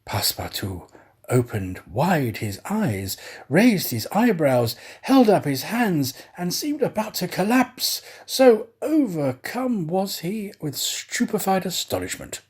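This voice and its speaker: A man reading a story aloud as a scripted narrator, with deliberate, theatrical phrasing. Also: high-pitched, big pitch swings, slightly rough. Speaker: storyteller, professional actor.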